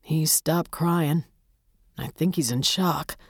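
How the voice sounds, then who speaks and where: A woman as a shaken, low-voiced policeman. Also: slow, breathy edge, no echo, studio quality, heavily acted. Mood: shaken, sad. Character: shaken male police officer briefing a detective.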